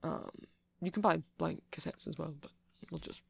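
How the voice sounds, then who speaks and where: Guy playing a geeky rambling vampire, soft gravelly asmr voice, tons of vocal fry, really dry close sound. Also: on the high side, fairly even intonation, moderate pace, dry recording, exaggerated voice. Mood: geeky, musing. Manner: rambling, hesitant, self-conscious geeky explaining. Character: nerdy, rambling, friendly male vampire shopkeeper. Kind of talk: ASMR roleplay, explaining technology.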